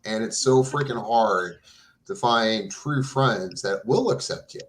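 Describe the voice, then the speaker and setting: A man's voice: fairly low pitch, unhurried, rough recording. Earnest, stammering man in his forties. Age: 40s. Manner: earnest, stammers and restarts often. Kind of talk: casual chat.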